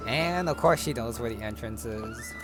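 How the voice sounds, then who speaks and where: Male gamer, middle register, talking casually through a playthrough with game music clearly audible. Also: mid-range voice, normal speed. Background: game music and sounds.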